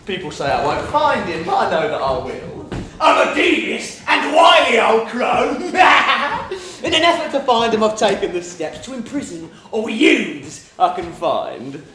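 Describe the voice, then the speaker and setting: Shrill, wicked old pantomime crone. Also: male voice, very animated, measured pace, a little hiss or hum, exaggerated voice. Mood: gleefully scheming. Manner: gleefully wicked, cackling, hectoring. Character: devious, boastful, wily old crone, pantomime villainess. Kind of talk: theatrical pantomime rhyme and song. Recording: roomy stage sound with loud music.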